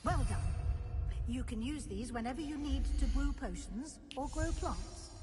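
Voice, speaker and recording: An actress playing a composed, encouraging middle-aged professor of magic in a video game, speaking in a measured, formal, instructive way. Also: female voice, high-pitched, lively intonation, music in the background. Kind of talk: scripted video game dialogue. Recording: long hall-like reverb.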